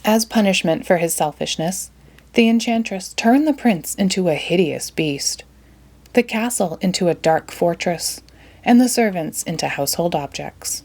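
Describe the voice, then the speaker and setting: A woman storyteller reading a children's storybook aloud in an expressive manner. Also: on the low side, moderate pace.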